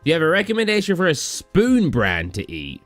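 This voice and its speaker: A man's voice: fairly high pitch, huge pitch swings, fairly quick, dry recording, soft background music. A young man from England, chatting casually on a live stream and answering chat questions in a tired, rambling way. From southern England. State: tired, low energy.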